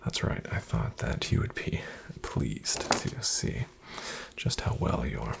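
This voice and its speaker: A man playing a polite, reassuring financial advisor in an ASMR roleplay, in a breathy half-whisper with gravelly fry and a slow, soothing, formal delivery. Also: very deep voice, big pitch swings, heavily acted. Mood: softly pleased. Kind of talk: ASMR, soft-spoken roleplay.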